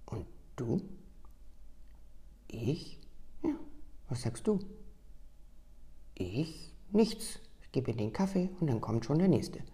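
A woman reading a story aloud in a soft, hushed, mostly whispered voice, slow and gentle. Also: a bass voice, expressive.

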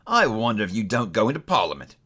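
Storybook role: male reader as a crusty old skinflint uncle, growly, curt and indignant, with animated pitch swings. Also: quick pace, full character voice. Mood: threatening, sarcastic. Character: stern, scornful, miserly old uncle. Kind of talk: dramatised storybook reading.

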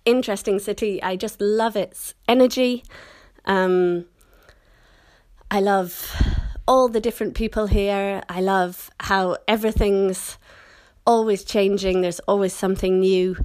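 A middle-aged woman from Scotland talks in a chatty, enthusiastic way, her standard British accent carrying slight Scottish traces. Her voice sits at a middle pitch and is recorded close and dry, with no room sound. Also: darkish tone, no echo. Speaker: English teacher, guest. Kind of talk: casual recorded monologue.

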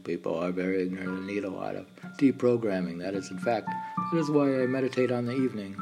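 A man reading his own meditative poetry in declarative phrases with pauses, in a recitation style. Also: medium pitch. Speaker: poet reading own work. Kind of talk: poetry recitation over background music. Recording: music playing under the voice. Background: background music.

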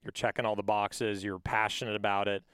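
A man's voice: lowish voice, little pitch movement, fairly quick, fairly bright tone, clean tone, no echo, studio quality. A man hosting a podcast, upbeat and encouraging, often checking in with "right?". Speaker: podcast host. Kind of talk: casual interview chat.

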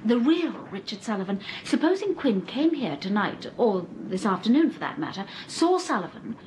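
An actress playing an observant, anxious and affectionate detective's wife in a period radio crime drama, speaking in a genteel way. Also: female voice, medium pitch, very animated, moderate pace, a little mellow, a little hiss or hum, lightly acted, low-quality recording. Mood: curious, uneasy.

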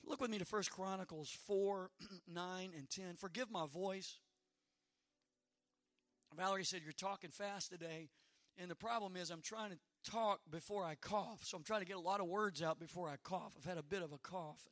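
A hoarse man preaching a sermon as pastor, stressing slow phrases and calling out to the congregation. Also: sits high, a bit bright, dry recording, studio quality. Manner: preaching with slow emphatic phrases and rising calls.

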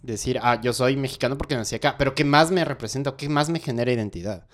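A man with a Quito accent hosting a relaxed conversational interview. He talks in a loose, reflective way and keeps asking whether his guest is following him. His voice sits in an ordinary middle pitch for a man. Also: quick pace. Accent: Quito Ecuadorian Spanish. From Ecuador. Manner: casual, reflective, keeps checking in with "¿cachas?". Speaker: host, musician.

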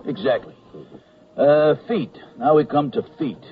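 An actor playing a stubborn, officious army medical sergeant with gruff, slangy talk in a radio comedy drama. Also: male voice, fairly high pitch, a little slow, a little hiss or hum, lightly acted. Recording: dull, band-limited radio recording.

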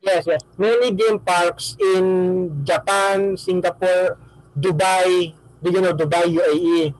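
A man hosting an interview, asking questions slowly and deliberately and repeating his phrases. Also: sits high, steady tone, dry recording, low-quality recording. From Philippines. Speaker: podcast host.

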